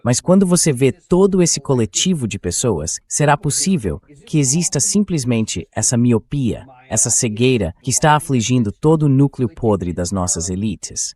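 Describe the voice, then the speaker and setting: A man's voice: medium pitch, sing-song, normal speed, few pauses, darkish tone. Man hosting a political discussion. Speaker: host. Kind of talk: translated voice-over of political interview.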